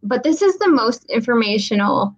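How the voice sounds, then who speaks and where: A woman's voice: on the high side, expressive, normal speed, muffled recording. A woman hosting a live online Q&A, upbeat, reading out viewer questions and moving the talk along. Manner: upbeat, reads out viewer questions, laughs easily. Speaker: host, moderator.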